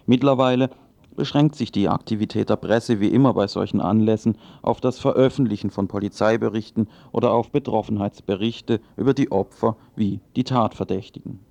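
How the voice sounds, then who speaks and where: Guy reading serious political commentary, dark gravelly voice, very dry sound, formal. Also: fairly low pitch, a little fast, dry recording. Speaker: radio news commentator.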